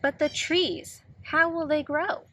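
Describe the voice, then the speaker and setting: A woman reading aloud as a practical mother gently questioning her little boy in a children's picture book. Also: sits high, steady tone, slow pace, slight background noise, voice pushed up, full character voice. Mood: doubtful, questioning.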